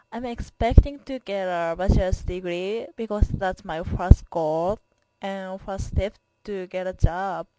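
A woman's voice: medium pitch, warm tone, dry recording, rough recording. A young Japanese woman, a college student whose first language is Japanese, speaks English with a Japanese accent as she introduces herself to her class. She talks carefully and earnestly, with simple phrasing and small grammar slips. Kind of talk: self-introduction recording for class.

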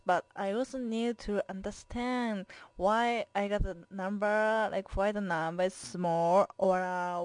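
A woman's voice: medium pitch, dry recording. Earnest young woman with a Japanese accent. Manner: careful, earnest, with simple phrasing and small grammar slips. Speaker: college student. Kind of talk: self-introduction recording for class.

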